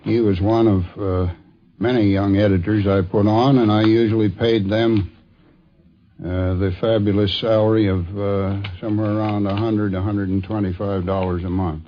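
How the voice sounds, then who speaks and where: An older man telling an anecdote in a halting, deliberate way, interviewed for a 1950s radio programme. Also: on the low side, flat delivery. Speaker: interviewee, former magazine editor. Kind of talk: anecdotal reminiscence. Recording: dull 1950s radio recording.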